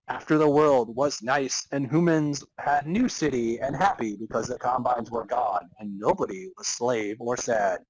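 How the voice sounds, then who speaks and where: A man narrating a comic dramatic reading of fan fiction as a podcast host, halting and amused over broken sentences. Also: mid-range voice, slightly rough, poor audio.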